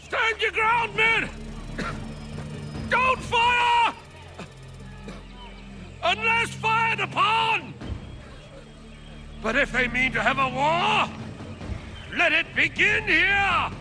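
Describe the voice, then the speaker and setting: A man's voice: very high-pitched, lively intonation, drawn-out words, long pauses, over music, exaggerated voice. An actor playing a defiant, stern militia captain rallying his men, declaiming in a dramatic video game style. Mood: commanding. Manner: commanding, declamatory.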